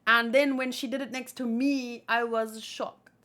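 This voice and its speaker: A woman's voice: on the high side, steady tone, measured pace, sharp tone. A woman host chatting casually and informally about manga, rambling a little as she thinks aloud.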